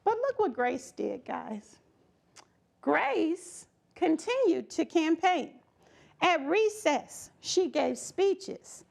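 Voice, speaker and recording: A woman reads a children's story aloud expressively, with emphatic delivery, dramatic pauses and chatty asides to her young listeners. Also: very high-pitched, sing-song, vocal fry, darkish tone.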